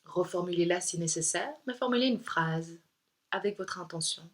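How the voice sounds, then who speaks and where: A woman yoga instructor leading a guided meditation in a calm, soothing, unhurried way with pauses. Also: lowish voice.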